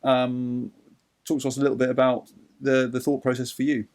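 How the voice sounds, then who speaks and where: A man's voice: mid-range voice, little pitch movement, normal speed, darkish tone. A man hosting a podcast, introducing his co-host in a friendly, hesitant way with many fillers. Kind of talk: casual podcast interview.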